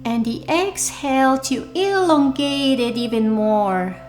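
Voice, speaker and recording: A woman leading a guided meditation in a soothing, deliberate way, leaving pauses between short phrases. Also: high voice, lively intonation. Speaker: meditation guide, workshop leader. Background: soft background music.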